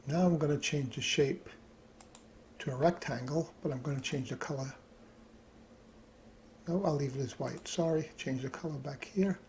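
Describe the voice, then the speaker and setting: A man with a gravelly, creaky voice talks through a casual screen-recorded tutorial. He thinks aloud as he works. There is light background noise with some keyboard clicking behind him. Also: a little high, moderate pace, long pauses, darkish tone. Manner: thinks aloud while working at computer. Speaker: hobbyist presenter.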